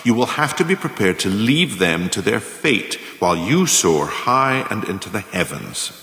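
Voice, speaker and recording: An actor playing a scheming, pompous senior manager, delivering a deliberate, oratorical radio play monologue. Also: male voice, lowish voice, very animated, a bit bright. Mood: grandly ruthless. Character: scheming pompous senior manager lecturing on ruthless career advancement. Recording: echoey hall with long reverb.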